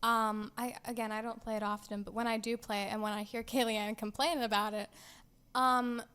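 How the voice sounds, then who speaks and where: A woman's voice: fairly high pitch, flat delivery, moderate pace, a bit bright, smooth. A woman co-hosting a gaming show, chatting casually and playfully with wry little asides.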